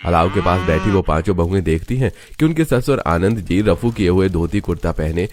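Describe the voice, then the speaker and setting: A man's voice: sits low, animated, brisk. A man reading scripted moral-story narration as a storyteller.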